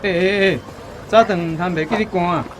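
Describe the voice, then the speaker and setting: A man plays an ingratiating shopkeeper in a radio drama. His voice is somewhat high. He is chummy and fawning, amid faint outdoor traffic sound. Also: normal speed, close to an everyday voice, low-quality recording. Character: fawning male grocer, chummy with big customers, snobbish. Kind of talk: Taiwanese-language radio drama acting.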